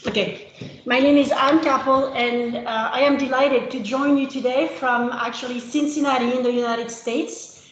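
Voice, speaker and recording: A French-born woman in her fifties giving a measured webinar presentation as a consultant, with the odd "uh" between phrases. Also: sits high, steady tone, a little hiss or hum. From France. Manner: measured, with filler uhs. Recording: roomy video-call audio.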